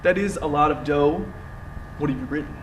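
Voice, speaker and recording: A man's voice: fairly high pitch, normal speed, close to an everyday voice. An actor playing a cocky, ambitious young advertising man in a stage play, smug and self-assured. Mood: boastful. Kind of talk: live stage play acting. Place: theatre stage, recorded from far off. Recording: distant roomy theatre recording, faint noise.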